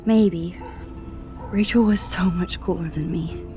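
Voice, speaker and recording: A young woman with an American accent playing an introspective, self-doubting teenage girl in a video game, soft-spoken, understated and casual. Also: lowish voice, slow pace. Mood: hesitant, wistful. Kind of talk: video game acting, quiet inner monologue and dialogue. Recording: music under the voice. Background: background music.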